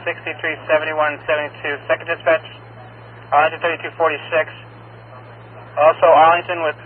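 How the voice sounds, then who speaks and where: A man working as an emergency dispatcher, reading out radio announcements in a clipped, procedural way over a two-way radio. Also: a little high. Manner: procedural, clipped, reads numbers in lists. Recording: muffled scanner radio with faint noise.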